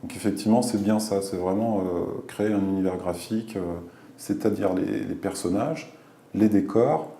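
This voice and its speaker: A man describing animation design in a documentary interview, halting and repeating words. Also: on the low side, gravelly, warm tone, echoey room. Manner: hesitant, repeats words, many fillers.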